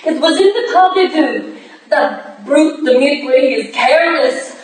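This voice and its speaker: A woman's voice: high-pitched, unhurried. A woman playing a proud, desperate young foreign ballerina in a staged reading, urgent and defensive. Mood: anxious. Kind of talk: staged reading of a period drama. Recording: distant, very echoey room, faint noise.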